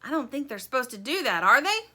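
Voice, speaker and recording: A brash toy shark, played by a woman reading a storybook, yells in a high, blaring voice. It is loud and demanding, with a sharp, bright edge on every word. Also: sing-song, quick pace, full character voice. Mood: indignant. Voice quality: blaring, bugle-like. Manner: yelling, demanding.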